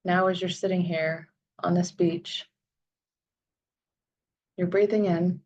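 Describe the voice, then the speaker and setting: Slow, low-voiced woman leading a meditation. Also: fairly even intonation, a little mellow, muffled recording, a little hiss or hum. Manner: soothing, deliberate phrasing with long pauses. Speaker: guided meditation leader. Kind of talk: guided visualization meditation.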